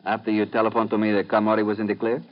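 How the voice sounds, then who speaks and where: Man playing police lieutenant, lowish voice, formal precise english, muffled old radio. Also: completely flat delivery, racing, natural voice. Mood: formal, concerned. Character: formal, businesslike Cuban male police lieutenant. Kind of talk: 1950s radio drama acting. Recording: muffled, faintly noisy vintage radio.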